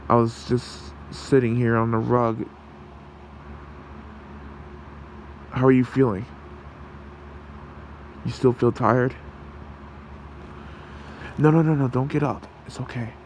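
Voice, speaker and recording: Casting: man playing protective male fox shapeshifter, ASMR roleplay. Unhurried, fry-edged, hesitant, reassuring. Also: mid-range voice, slight background noise. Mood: gentle, comforting.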